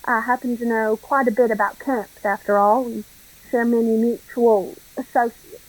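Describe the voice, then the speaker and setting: A woman's voice: a little high, steady tone, normal speed, natural voice, low-quality recording. A woman playing a smug, scheming Southern crime boss in a radio play, with an effusive, mock-genteel drawl. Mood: sly, persuasive.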